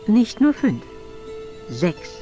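Elderly aristocrat for adventure game: stern, proud, formal delivery with animated intonation, light music underneath. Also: male voice, high voice, full character voice. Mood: guarded, haughty. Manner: formal, haughty, measured. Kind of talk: adventure game voice acting, German dub.

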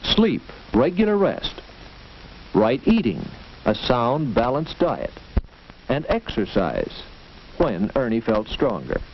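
An American man narrating a scripted 1940s educational film in a measured, instructive storytelling manner, on an old film soundtrack. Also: medium pitch, very animated. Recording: dull, noisy, clicking 1940s film soundtrack.

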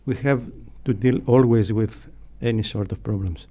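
A middle-aged man with a Greek accent, answering interview questions in a halting, hesitant way. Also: on the low side, mellow. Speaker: radio station staff member, interviewee. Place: small foam-padded radio studio. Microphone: close studio mic on boom arm, headphones.

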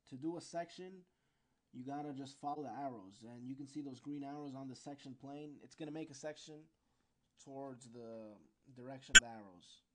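A man talks through an on-screen software tutorial in a bright, crisp-edged voice. He is informal and unscripted, going step by step and now and then feeling for the right word. His pitch sits in an ordinary middle range.

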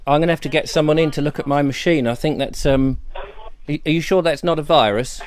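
Middle aged english guy on a phone call, kinda high voice, unsure and worried sounding. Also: fast. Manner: hesitant, feigning naive worry. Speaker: call recipient recording a scam call. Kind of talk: phone conversation.

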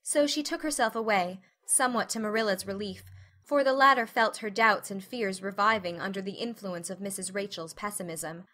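A woman's voice: mid-range voice, moderate pace, sharp tone. A woman reading scripted literary narration as an audiobook narrator.

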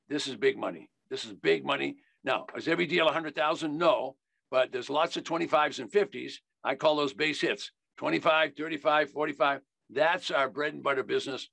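Gravelly, bright-voiced older American man. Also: fairly high pitch, dry recording. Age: older, 70s. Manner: emphatic, folksy, repeats key phrases. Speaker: investing educator. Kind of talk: instructional sales talk. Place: indoors on a video call.

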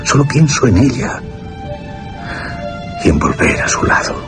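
An actor playing an earnest, dreamy young English gentleman archaeologist in a Spanish radio drama. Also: medium pitch, unhurried, lightly acted. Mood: infatuated. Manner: dreamy, expressive delivery. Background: music behind the voice.

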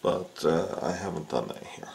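Very deep, gravelly-voiced man demonstrating. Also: lively intonation, a little mellow, a little hiss or hum. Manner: unhurried, with frequent "uh" and "um" fillers. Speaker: fishing rod repair instructor. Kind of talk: casual how-to demonstration. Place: indoors at a workbench.

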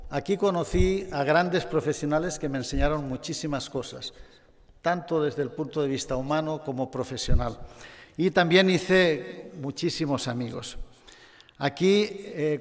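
An older man from Catalonia giving a formal award acceptance speech in a measured manner with touches of humor. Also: a little high. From Catalonia, Spain. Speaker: award recipient. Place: large gala hall. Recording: long reverb of a hall.

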